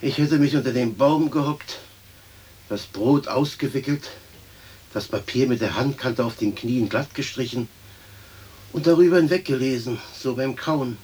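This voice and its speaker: An actor playing an earthy, hungry grumbler in a radio play, coarse and plain-spoken. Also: male voice, medium pitch, moderate pace, darkish tone, husky, natural voice. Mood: grumbling. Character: hungry, grumbling, earthy common man stuck on a branch. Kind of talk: German radio play acting.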